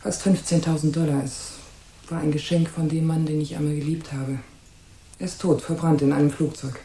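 A woman's voice: sits low, level tone, normal speed, dark tone. An actress playing a haughty, suspicious and scornful married woman in a German radio crime drama. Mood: guarded, bitter. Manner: disdainful, imperious, guarded. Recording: roomy echo.

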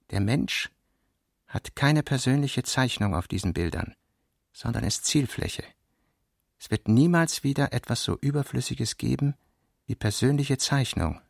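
Guy narrating literary radio piece, deliberate, gravelly voice, very dry close sound. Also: mid-range voice, dry recording.

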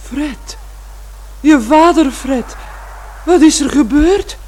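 Distraught middle-aged mother, slow delivery, pleading, repetitive, radio drama, noisy old recording. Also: high-pitched, mellow. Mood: alarmed, pleading. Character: distraught, bewildered mother and housewife in shock.

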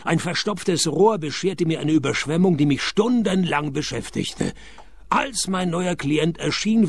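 Gravelly deadpan private eye. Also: male voice, on the high side, no room sound, heavily acted. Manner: dry, deadpan, sarcastic. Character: wisecracking male private detective, dry and sardonic. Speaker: narrator and lead. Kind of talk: radio play narration and acting.

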